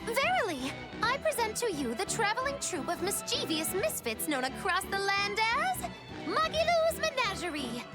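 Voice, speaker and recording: A woman's voice: very high-pitched, sing-song, normal speed. A woman voicing a mischievous, bossy young magician troupe leader in a video game, with pompous, florid showmanship. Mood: grandiose. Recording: loud music behind the voice.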